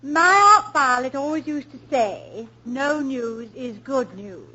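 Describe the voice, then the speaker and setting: An actress playing a gracious wife, formerly of the English stage, with a poised, gently teasing manner, in 1940s radio acting on a dull old broadcast recording. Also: female voice, sits high, takes it slowly, husky, heavily acted. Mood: soothing.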